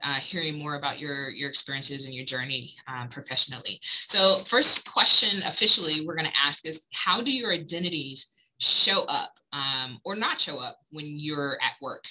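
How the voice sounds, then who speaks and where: Low, bright-toned woman moderating. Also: normal speed, low-quality recording. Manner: brief and polite, handing off to each speaker. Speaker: panel moderator. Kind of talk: moderating a panel. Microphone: video call.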